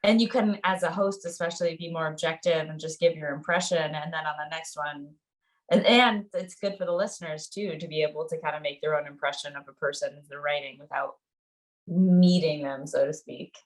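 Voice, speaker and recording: Woman, slightly low voice, casual podcast host, supportive, interested. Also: a little fast, rough recording. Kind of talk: casual chat.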